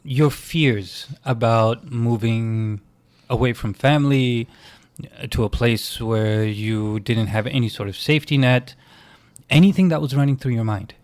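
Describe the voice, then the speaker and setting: A man's voice: mid-range voice, a little slow, darkish tone. A man from Canada co-hosting a casual podcast conversation, asking questions and steering the talk. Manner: inquisitive, guides the talk with questions. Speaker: co-host.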